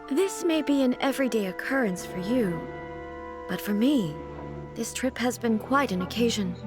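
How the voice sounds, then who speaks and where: A woman playing a composed, reserved female deity ruler in a video game, speaking in a formal, measured and deliberate way. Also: a little high, lively intonation, lightly acted. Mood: sincere, grateful. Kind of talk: video game character dialogue. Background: music.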